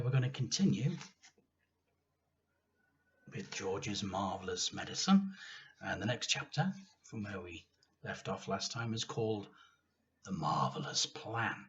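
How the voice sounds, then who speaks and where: Slow, animated male storyteller. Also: on the low side, lo-fi sound. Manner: dramatic emphasis with deliberate pauses. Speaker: teacher reading aloud. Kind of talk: expressive children's story narration.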